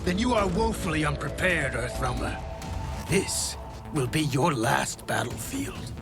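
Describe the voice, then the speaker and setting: Menacing, higher-pitched male warrior. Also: very animated, measured pace. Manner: formal, threatening. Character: contemptuous, menacing warrior opponent from another realm. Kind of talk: dramatic video game acting. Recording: very loud music behind. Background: loud score music.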